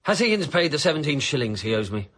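A man playing a harsh, miserly old moneylender with a raspy, barking voice in an amateur radio play. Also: on the high side, sing-song, fast talker, fairly bright tone, voice pushed up. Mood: gruff. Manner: gruff, bellowing orders.

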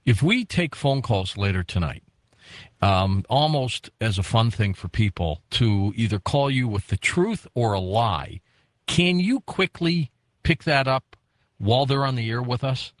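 A man's voice: mid-range voice, animated, measured pace, no echo. A man in his fifties hosting a radio talk show, asking his guest curious, chatty questions. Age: 50s. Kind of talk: radio interview.

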